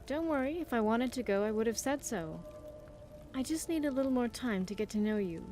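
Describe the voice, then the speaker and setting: A woman's voice: fairly high pitch, animated, fairly quick, warm tone, long reverb tail, faint music behind, close to an everyday voice. A woman playing a wistful, earnest young vault survivor in a video game, speaking in careful, formal phrases. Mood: calm, uncertain. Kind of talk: video game companion dialogue.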